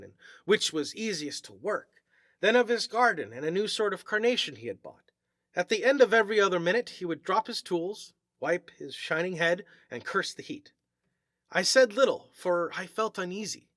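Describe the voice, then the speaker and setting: A man narrates an eerie short story in a theatrical, deliberate way. His voice sits a little high and has a bright, forceful edge. The recording is very dry, with little room sound. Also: dry recording. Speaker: story reader.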